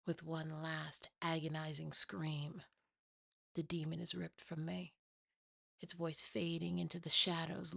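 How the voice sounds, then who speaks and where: A woman's voice: on the low side, gravelly, fairly bright tone, no echo. A woman narrating a scripted first-person horror story in ASMR style, close to the mic. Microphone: close, dry mic.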